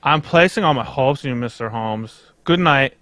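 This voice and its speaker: A man's voice: medium pitch, normal speed, fairly bright tone, no room sound, natural voice. A man playing an anxious, pompous foreign king in a radio mystery, earnest, in stilted English that drops its articles. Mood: anxious, formal. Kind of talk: old-time radio mystery acting, read live.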